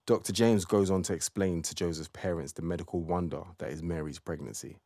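A man with a deep, low voice serves as narrator of an audio play. He reads scripted storytelling passages that link the dramatic scenes. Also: brisk, mellow.

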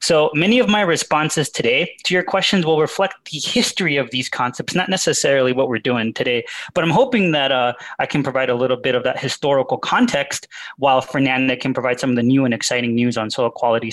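A man answering interview questions as a researcher, measured and explanatory, with fillers and restarts. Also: mid-range voice, fairly bright tone. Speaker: guest researcher.

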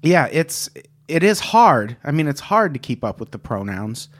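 A man co-hosting a comedy podcast, chatting casually and tossing in quick jokes. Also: fairly high pitch, big pitch swings. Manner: joking, quick quips. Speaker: podcast co-host.

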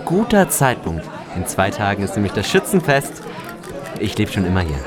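A chatty, plain-spoken village man in an audio drama. His casual speech is lively in pitch, set amid music and crowd noise. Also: moderate pace. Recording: music and crowd in public room.

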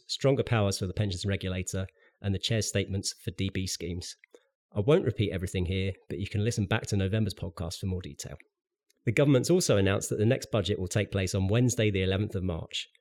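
A man's voice: fairly low pitch, darkish tone. A man hosting a podcast, reading a scripted pensions news update with dry, wry asides, sounding a little bunged up from a cold. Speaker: podcast host.

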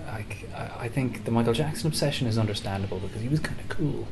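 A man hosting an interview, asking relaxed follow-up questions in a conversational way. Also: mid-range voice, fast talker, a loud crowd. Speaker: podcast host. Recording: noticeable background hiss.

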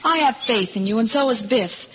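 An actress playing a devoted young sweetheart, brisk, coaxing and tender, in a 1950s radio drama. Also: female voice, on the high side, expressive, natural voice. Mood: spirited, tender. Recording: very noisy, dull old radio recording.

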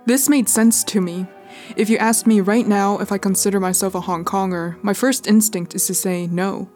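A woman's voice: mid-range voice, steady tone, moderate pace, a little mellow, soft background music. A young adult woman from California with an American accent, reading scripted narration as a podcast host. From California, United States.

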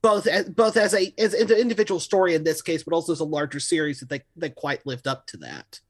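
A man's voice: a little high, expressive, fast talker. Man in a wry, rambling review chat. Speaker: podcast host reviewing audio dramas. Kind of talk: casual panel review chat.

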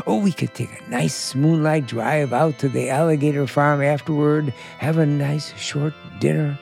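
An actor playing a sinister, coaxing bridegroom in an old-time suspense radio drama, with a folksy, drawling, insistent manner. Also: male voice, on the high side, gravelly, a little mellow. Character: sinister, coaxing New England bridegroom with secrets.

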